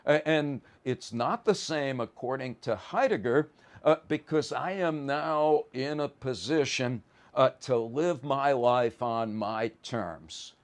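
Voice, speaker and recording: Slow, deliberate man giving a lecture. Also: medium pitch. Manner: deliberate, halting, stressing key words. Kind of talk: philosophy lecture, informal explanatory.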